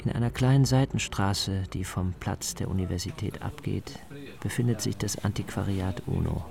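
A man's voice: low voice, normal speed, creaky, noisy background. A man, narrating a scripted, atmospheric radio documentary as its reporter.

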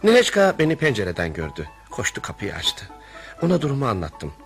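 An actor playing an earnest, anxious family friend who narrates and speaks in a radio play, with theatrical delivery. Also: male voice, on the low side, huge pitch swings, brisk, faint music behind. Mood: reflective, wry. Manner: theatrical, reflective storytelling, emphatic protests.

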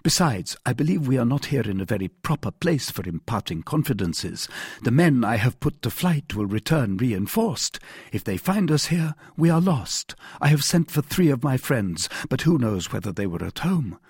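A brave young guardsman in an audiobook, acted by a man, courtly and devoted. He speaks formally and earnestly in a husky voice with animated pitch, recorded very dry. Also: moderate pace, dry recording. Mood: cautious, resolute. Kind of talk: audiobook character voice.

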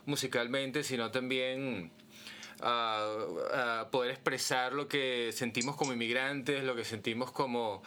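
A man from Caracas, chatting in an interview and often pausing to search for words. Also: mid-range voice, fairly even intonation, bright, forceful tone, slight background noise. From Caracas, Venezuela. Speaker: guitarist, band guest. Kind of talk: casual interview chat.

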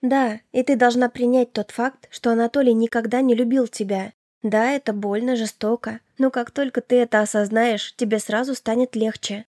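A woman's voice: fairly high pitch, steady tone, moderate pace, a little mellow, no room sound, clean studio sound, natural voice. A woman voicing a caring, protective elder sister in her twenties in an audiobook, firm, reassuring and motherly. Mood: gently firm. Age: 20s. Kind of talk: audiobook character dialogue.

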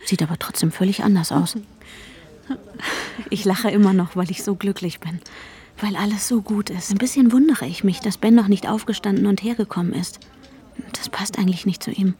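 A woman's voice: mid-range voice, moderate pace, warm tone, lightly acted. An actress playing an intense, lovestruck woman, telling her own story intimately and broodingly in a radio drama, at times dropping to a whisper. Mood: blissful. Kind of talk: German radio drama first-person narration. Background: music.

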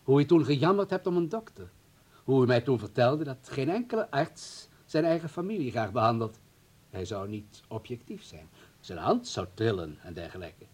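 Male party official, radio play: husky, mock-courteous, quietly menacing, insinuating. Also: medium pitch, big pitch swings, moderate pace. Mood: veiled threat. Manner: hesitant mock politeness, veiled threats.

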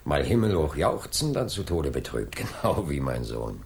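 An actor playing a probing, persistent middle-aged police inspector in a German radio drama. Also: male voice, a bass voice, sing-song, moderate pace, a little hiss or hum, exaggerated voice. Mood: chatty, probing. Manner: inquisitive, insistent questioning.

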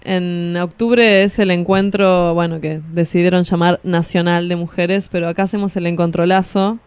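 A woman's voice: on the low side, fairly even intonation, normal speed, darkish tone, clean tone, no room sound. An Argentine woman co-hosting a radio show, asking questions in a casual conversational style. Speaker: radio co-host, interviewer.

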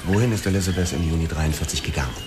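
An actor playing a calm, patient male detective questioning a witness in a radio play. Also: low voice, very fast, noisy background, close to an everyday voice. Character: steady adult male detective. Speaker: police detective.

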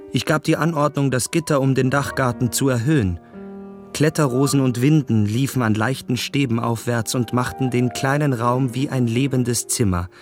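An actor playing a sensitive, enamoured young gentleman who speaks reflectively in a literary radio drama. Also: mid-range voice, natural voice.

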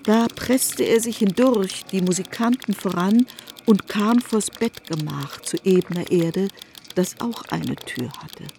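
A woman narrating a literary radio play in an even, solemn storytelling manner. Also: medium pitch, a little slow, gravelly, slight background noise.

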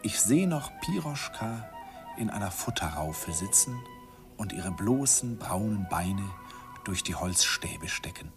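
A man's voice: on the low side, expressive, takes it slowly, music in the background. An actor narrating a radio play as a middle-aged man fondly recalling his first love, in a wistful, reminiscing way.

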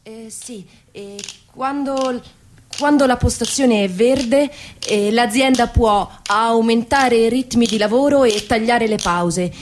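A woman playing an earnest, hesitant factory worker as a quiz contestant, explaining haltingly in a satirical radio play. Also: a little high, a little hiss or hum.

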